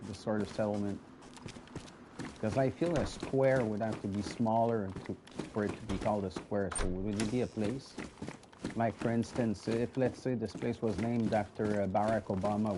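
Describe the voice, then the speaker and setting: Low-voiced French Canadian man chatting over gameplay. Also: noisy background. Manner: chatty and rambling, often restarting words, talks straight to viewers. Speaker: gaming video host. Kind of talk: casual gameplay commentary.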